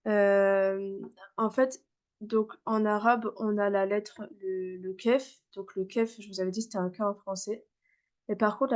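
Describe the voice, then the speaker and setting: A woman teaching a beginner class over a video call, patiently repeating sounds for her students, with frequent fillers. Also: medium pitch. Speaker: Arabic reading teacher.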